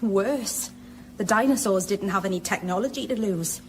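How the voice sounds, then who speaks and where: A woman's voice: mid-range voice, normal speed, a little mellow, a little hiss or hum, close to an everyday voice. An actress playing a kindly but disillusioned female colleague in a naturalistic radio drama.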